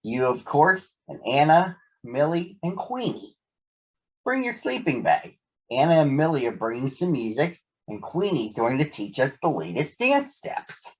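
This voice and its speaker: A man's voice: a little high, sing-song, a little slow, husky, exaggerated voice. A man voicing a sociable little girl bear in a storybook, breezy and organizing. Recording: dull lo-fi sound.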